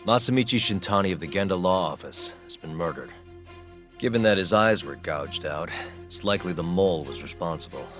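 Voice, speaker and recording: A serious detective in a game cutscene, very low in pitch. He lays out facts calmly and evenly, with music under him. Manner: calm, measured, matter-of-fact. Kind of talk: video game cutscene voice acting.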